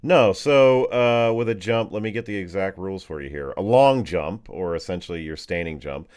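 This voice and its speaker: A man working as game master, narrating a tabletop game and explaining rules casually, informal and animated, often fumbling his words. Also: low-pitched, moderate pace, clean tone. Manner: informal, animated, fumbles words, uses makeshift sound effects.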